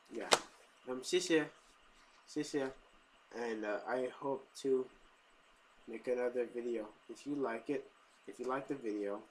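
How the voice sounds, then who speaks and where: A man's voice: medium pitch, slight background noise, rough recording. A young man talking casually into his webcam at home, hesitant, with lots of fillers and restarts. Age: young adult. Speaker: vlogger. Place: living room at home. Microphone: webcam built-in mic.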